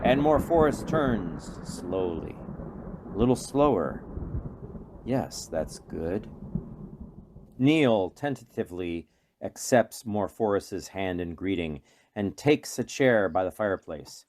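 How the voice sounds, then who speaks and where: A man narrating a radio play from a script in a measured, deliberate, dramatic way. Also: deep voice, lively intonation, background noise. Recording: faint music behind.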